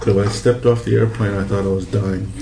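A man's voice: on the low side, little pitch movement, fairly quick, gravelly. A middle-aged man from Hawaii being interviewed, reminiscing in casual conversation. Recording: echoey room with background noise.

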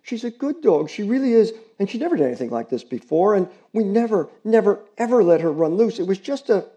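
A man's voice: high voice, sing-song, dark tone, highs cut off, voice pushed up. A man doing an eager precocious teen girl. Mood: pleading. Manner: eager, pleading, rapid. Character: tall precocious teenage girl, eager and earnest. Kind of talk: audiobook character reading.

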